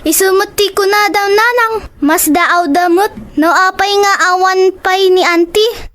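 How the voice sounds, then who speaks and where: A very high, childlike voice of a young Filipino daughter. She speaks respectfully and dutifully to her mother, as a character in an audio drama. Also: unhurried, fairly bright tone. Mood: childlike, obedient.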